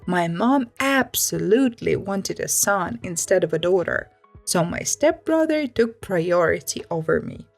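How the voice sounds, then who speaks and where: A woman's voice: fairly low pitch, expressive, measured pace, gravelly, soft background music. A woman narrating a scripted first-person story, reading it aloud.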